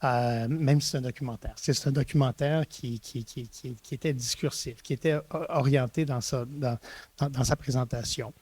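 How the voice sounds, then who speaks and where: A man's voice: mid-range voice, fairly even intonation, normal speed, a little mellow, breathy edge. A middle-aged man from Eastern Ontario, a university rector telling his story in an interview, with many restarts and hesitations. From Eastern Ontario, Canada. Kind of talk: reflective conversational interview.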